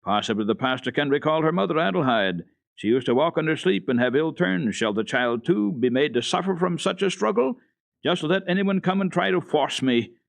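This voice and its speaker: A man's voice: on the high side, animated, fairly quick, gravelly, no echo, voice pushed up. A man voicing a stubborn, bitter old mountain grandfather in a storybook reading, speaking firmly and bluntly. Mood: indignant, defiant. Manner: decided, firm, blunt.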